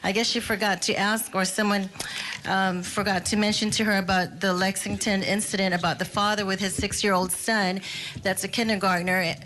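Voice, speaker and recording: A woman's voice: mid-range voice, flat delivery, normal speed, a bit bright, slight background noise, poor audio. A woman giving public testimony at a hearing, earnest and imploring, with fillers.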